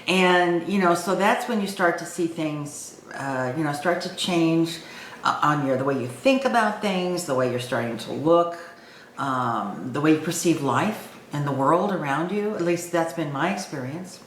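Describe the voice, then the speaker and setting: A woman's voice: low-pitched, animated, measured pace. A woman aged sixty-five talking informally and reflectively to her viewers, thinking aloud with some hesitation. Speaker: online video host. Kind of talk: reflective personal talk to camera. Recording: echoey room with faint background noise.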